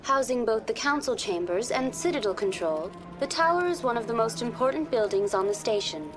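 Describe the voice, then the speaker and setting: A woman's voice: a little high, animated, fairly quick, natural voice. A woman playing a polite virtual tour guide program in a game, formal and informative in a scripted guide style. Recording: music behind the voice.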